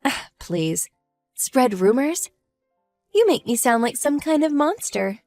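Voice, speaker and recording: A woman playing a cold, scheming wife who mocks her husband's lover in a slow, sneering way. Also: high-pitched, very animated, a little mellow, soft background music, full character voice. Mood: mocking. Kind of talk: audio drama acting.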